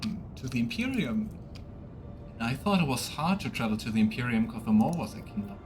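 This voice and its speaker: A man's voice: medium pitch, big pitch swings, a little fast, noisy background. A man giving casual let's play commentary as he streams a game, talking to himself and his viewers.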